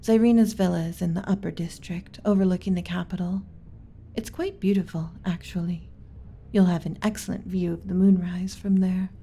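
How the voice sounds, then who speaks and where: A woman playing a gentle, submissive alien servant in an ASMR roleplay, speaking softly and breathily with hesitant, deferential pauses and some whispering. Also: fairly low pitch, fairly even intonation. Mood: gentle, cautious. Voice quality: soft, breathy with whispered stretches. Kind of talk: ASMR roleplay, soft intimate delivery.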